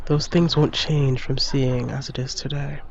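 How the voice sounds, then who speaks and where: A man's voice: medium pitch, fairly even intonation, a little slow, gravelly, darkish tone, slight background noise. A man playing a frank, caring, plain-spoken starship crewmate in a sci-fi audio drama, on a muffled recording. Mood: earnest, supportive. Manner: direct, plain-spoken, persuasive.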